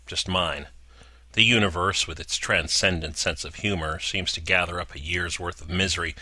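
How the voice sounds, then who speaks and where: A man narrating a horror story in a slow, deliberate way with dramatic pauses. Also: deep voice, sharp tone, dry recording.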